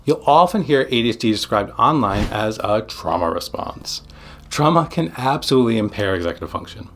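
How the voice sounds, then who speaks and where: A man giving scripted educational narration as a psychiatrist, speaking in short, deliberate, emphatic phrases. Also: on the low side, animated, a bit bright, a little hiss or hum. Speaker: psychiatrist presenting an explainer video.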